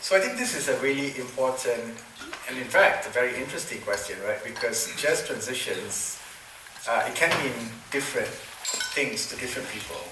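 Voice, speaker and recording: A man's voice: medium pitch, moderate pace. Man from Malaysia on a panel. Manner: thoughtful, many fillers and restarts. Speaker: program director of a forum. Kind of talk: panel discussion answer. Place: conference room. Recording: echoey room, far from mic, noisy.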